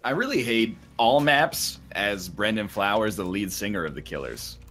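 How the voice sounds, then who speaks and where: A man's voice: lowish voice, sing-song, moderate pace, a bit bright. A man chatting casually and jokingly with friends while playing a video game, tossing in dry riffs and mock-formal asides.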